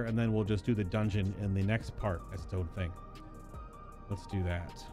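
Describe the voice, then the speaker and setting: A man streaming a video game, chatting and musing aloud as he gives live commentary on the story. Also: medium pitch. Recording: music behind the voice. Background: video game music.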